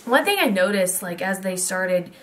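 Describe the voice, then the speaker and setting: A woman in her mid twenties talks into a webcam in a small room. She has an even, middle-pitched voice and rambles earnestly, often restarting her sentences as she goes. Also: normal speed. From Texas. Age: mid 20s. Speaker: vlogger. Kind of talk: casual chat with a friend. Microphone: webcam in front.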